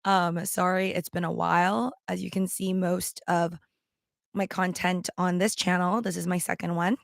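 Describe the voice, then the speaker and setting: Casual female vlogger, raspy and gravelly, rambling chatter, dry close sound. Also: lowish voice, little pitch movement, moderate pace, no echo. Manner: rambling, with many ums and likes; talking around a throat candy. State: strained, worn voice. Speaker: livestream seller and vlogger. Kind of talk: casual chat to camera.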